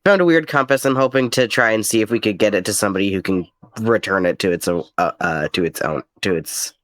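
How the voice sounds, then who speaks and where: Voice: deep voice, fast, a bit bright, dry recording, clean studio sound. A tabletop roleplaying player chatting casually through the game, with hesitant, wordy phrasing.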